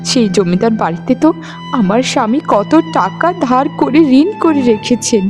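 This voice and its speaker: A woman's voice: fairly high pitch, lively intonation, moderate pace, over music, close to an everyday voice. A woman voicing a long-suffering poor village wife and mother in a cartoon, plaintive and weary. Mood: worried resolve. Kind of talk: cartoon voice acting in a moral story.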